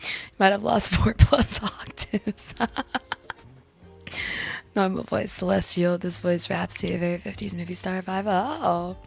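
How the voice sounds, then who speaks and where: A young woman streaming a casual chat with viewers, hoarse after losing her voice, raspy and breathy, often giggling and slipping into whispers. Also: medium pitch, fairly even intonation, measured pace, pauses often, faint music behind. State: hoarse, lost voice.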